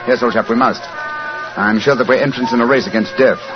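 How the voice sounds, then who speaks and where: An actor playing a shrewd, courteous English detective in a 1940s radio drama, speaking in a clipped, precise, urbane way. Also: male voice, mid-range voice, steady tone, fast talker, lightly acted. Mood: grave, urgent. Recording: muffled 1940s radio broadcast with music.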